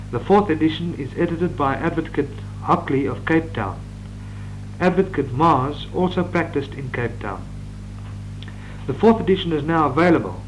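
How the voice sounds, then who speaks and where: A man's voice: a little high, muffled recording. Man dictating law notes on old tape. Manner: measured dictation with occasional stumbles. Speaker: law lecturer. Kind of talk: reading out course notes. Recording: old reel-to-reel tape with hum.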